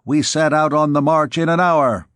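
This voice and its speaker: A man's voice: on the high side, normal speed, a bit bright, no room sound, clean studio sound, pitched above the performer's own voice, lightly acted. Bossy male gang chief barking orders, voice lifted, a man playing him. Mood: urgent. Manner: urging, giving orders. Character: commanding male thieves' leader.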